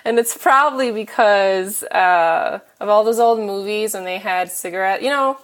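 A woman chatting frankly and casually as a guest, pausing often with fillers and restarts. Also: medium pitch. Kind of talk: casual chat.

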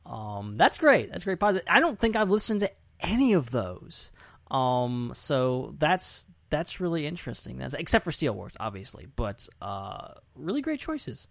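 A man's voice: fairly high pitch, sing-song, measured pace, creaky, no echo. A man chatting casually and animatedly as a podcast host.

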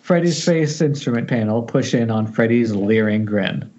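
A man reads stage directions from a horror script in a medium-pitched voice. He stumbles over phrases and corrects himself as he narrates. The sound is slightly muffled, as from an internet call. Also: lively intonation, normal speed, darkish tone, slight background noise. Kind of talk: reading a horror screenplay aloud at amateur table read.

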